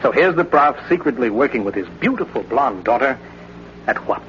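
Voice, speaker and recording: A man's voice: mid-range voice, animated, brisk, fairly bright tone, lightly acted. An actor playing a pushy, cynical male newspaper reporter, brash and wisecracking, in a vintage radio drama. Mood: brisk, probing. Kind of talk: 1940s-50s radio drama acting. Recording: dull, lightly noisy vintage radio recording.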